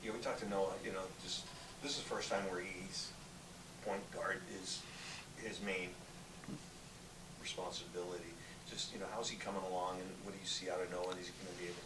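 Low, fast male reporter, off-mic. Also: level tone. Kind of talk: casual press conference questions. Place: indoor press conference room. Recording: distant, echoey, noisy room.

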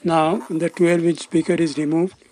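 A man's voice: a little high, level tone, moderate pace, mellow, faint music behind. A man giving scripted, step-by-step narration as the presenter of a technical demonstration. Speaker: presenter of a loudspeaker testing demonstration.

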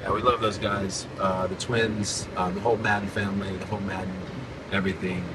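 A man in his forties hosting a casual podcast from inside a moving car, talking in a relaxed, upbeat way. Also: low-pitched, fairly quick. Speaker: podcast host and band frontman. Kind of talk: casual chat. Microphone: phone at arm's length. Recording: loud road noise, slightly distant phone mic. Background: road and engine noise.

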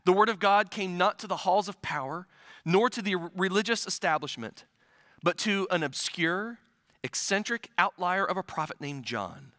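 A man in his forties, a pastor from the Midwest, preaching a sermon at a church pulpit on a headset mic, swelling loud and dropping to soft asides. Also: high voice, animated, moderate pace, no room sound, studio quality. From American Midwest. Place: church sanctuary stage at a pulpit.

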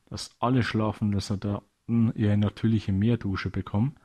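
A man's voice: lowish voice, little pitch movement, moderate pace, mellow. A man narrating a scripted children's audio story as a storyteller.

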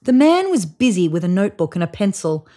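A woman reads a picture book aloud as narrator. Her voice is mid-pitched and animated, moving expressively through each sentence in a storytelling style. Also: fairly quick, a little hiss or hum. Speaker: storybook reader. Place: indoors, on a sofa in a room.

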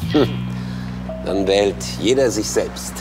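An actor playing a hesitant, polite police detective in a naturalistic radio drama. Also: male voice, lowish voice, slow, distant mic, over music. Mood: exasperated.

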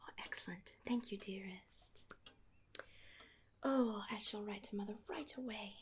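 A genteel Regency married lady, acted by a woman in an ASMR period roleplay, whispers softly and breathily. She is affectionate and house-proud, with unhurried, old-fashioned phrasing. The close recording has clear background noise with a ticking sound. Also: medium pitch, natural voice. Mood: fond, pleased. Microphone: close microphone.

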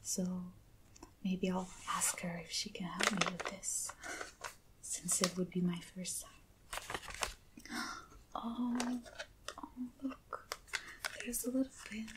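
A woman speaking in a hushed, breathy, gentle whisper, as in an ASMR unboxing video. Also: on the low side. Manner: hushed, gentle, unhurried with pauses. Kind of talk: soft-spoken ASMR unboxing. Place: indoors, at a table. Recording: clearly noisy hissy close recording.

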